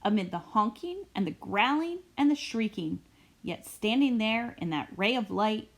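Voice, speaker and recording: A woman reading a children's picture book aloud expressively, with dramatic, deliberate phrasing and emphasised words. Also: mid-range voice, lively intonation. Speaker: read-aloud storyteller.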